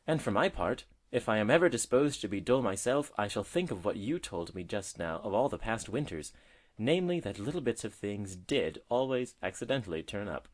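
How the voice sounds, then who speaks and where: A man's voice: lowish voice, animated, fairly quick. A man voicing a cheerful, earnest little male robin in a storybook, in an exclamatory manner. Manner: bright-spirited, exclamatory. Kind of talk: storybook character voice.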